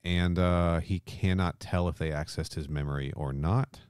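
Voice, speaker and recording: A man with an extremely low voice hosts a casual podcast. He recaps a story in loose, slangy speech, often seeking agreement. The sound is close and dry. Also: steady tone, moderate pace, darkish tone, clean tone, dry recording. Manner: colloquial, mildly sweary, often seeking agreement. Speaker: podcast host.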